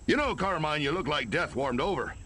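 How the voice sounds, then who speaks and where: A jovial, teasing gate guard with a bright, forceful voice. He banters in a video game cutscene over faint outdoor noise. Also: fairly high pitch, lively intonation, a little fast. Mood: amused, teasing. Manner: chuckling, ribbing banter.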